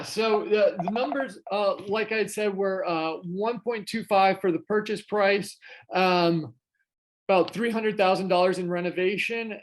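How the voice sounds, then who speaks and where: This is a man with a fairly high speaking voice, talking as a guest on an investing podcast. He tells his story in a loose, chatty way, full of "um"s and "you know"s and restarting his sentences. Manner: chatty, many fillers and restarts. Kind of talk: casual conversational storytelling.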